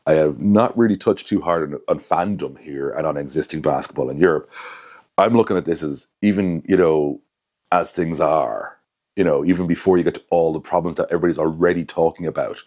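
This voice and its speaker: A man's voice: a bass voice, brisk, a little mellow. A young man hosting his own channel, giving casual basketball analysis to camera in a rambling way, often correcting himself and adding asides. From Ireland. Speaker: basketball commentator, channel host.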